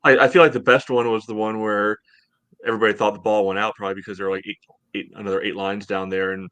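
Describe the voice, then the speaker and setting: An American man in his thirties hosting a casual sports podcast over a video call, speaking haltingly with lots of "you know". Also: fairly low pitch, flat delivery, fairly bright tone. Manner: hesitant, halting delivery, heavy use of "you know". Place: home room, indoors, on a video call. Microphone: headset on video call.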